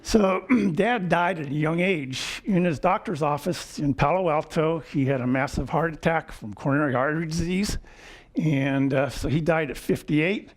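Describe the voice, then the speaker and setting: A man in his seventies giving a lecture with personal stories, wry and chuckling, often clearing his throat. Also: a little high, moderate pace. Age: 70s. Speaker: guest lecturer. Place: lecture hall.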